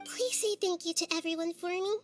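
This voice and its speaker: A woman voicing a gentle, wise, childlike little girl deity in a game cutscene, with formal, thoughtful phrasing. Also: extremely high pitch, fairly quick, faint music behind. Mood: wistful, gentle.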